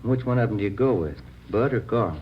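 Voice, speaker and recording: Actor as a low-voiced probing detective. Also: male voice, animated, a little fast, close to an everyday voice. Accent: American. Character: probing, plain-spoken male police detective. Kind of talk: 1950s radio crime drama acting. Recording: dull old radio recording, faint hiss.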